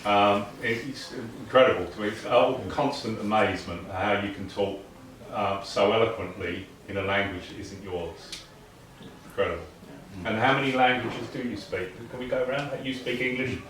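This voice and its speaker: A man's voice: on the low side, steady tone, normal speed, fairly bright tone. A man hosting a casual chat with student debaters, asking questions and encouraging them. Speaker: debate host. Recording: roomy, distant mic, background noise.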